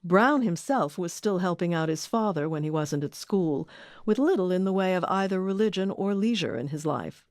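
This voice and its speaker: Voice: medium pitch, expressive, normal speed, a little mellow, no room sound, clean studio sound. A documentary narrator reading a scripted history.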